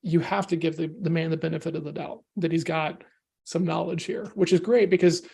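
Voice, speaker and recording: A man's voice: fairly high pitch, steady tone, a little fast, gravelly, dark tone, slightly rough. A man from the United States, a podcast guest talking casually and energetically, often adding "right?" and "you know". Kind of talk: casual discussion.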